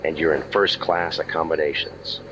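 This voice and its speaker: An actor playing a world-weary, wisecracking private eye in a deadpan old-time radio detective style. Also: male voice, a bass voice, normal speed, highs cut off, noisy background. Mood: dry, sardonic. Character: cynical, world-weary hardboiled male waterfront private eye.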